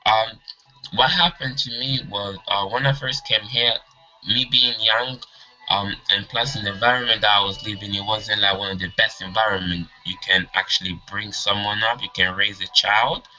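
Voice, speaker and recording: A man in his twenties from Congo who grew up partly in England, a non-native English speaker presenting an informal personal lesson in halting phrases. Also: lowish voice, steady tone, sharp tone. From Congo, then England. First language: not English. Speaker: course presenter, trainer. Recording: dull lo-fi audio, music behind.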